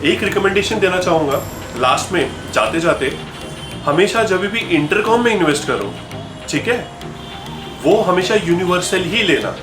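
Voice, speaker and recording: An Indian man giving a casual product explainer as a video host, conversational and explanatory, checking in with questions and mixing in English phrases. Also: fairly high pitch. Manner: mixes English words and phrases into speech. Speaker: video host and gear store seller.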